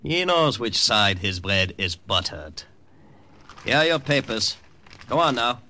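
An actor playing a suspicious, condescending sentry, curt and officious in old radio drama style. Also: male voice, mid-range voice, very animated, unhurried, pushed and bright, heavily acted. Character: suspicious, condescending German sentry guarding a bridge. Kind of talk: 1950s radio drama acting. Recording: noisy old radio recording.

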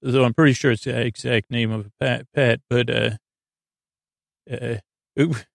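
A man hosting a sleep podcast, rambling in a meandering, stammering monologue with frequent digressions and a gravelly, creaky voice. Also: mid-range voice, fairly even intonation, measured pace, no room sound, clean studio sound. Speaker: podcast host.

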